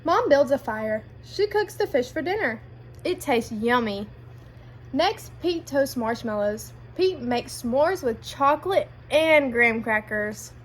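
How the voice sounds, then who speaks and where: Female picture-book reader, high lively voice, asks kids guiding questions, light background noise. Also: takes it slowly. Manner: addresses children with guiding questions. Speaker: read-aloud storyteller. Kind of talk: animated children's picture book narration.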